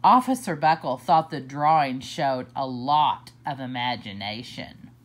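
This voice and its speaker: A woman reading a children's picture book aloud for story time, slow and deliberate with expressive swoops. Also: rumbling low.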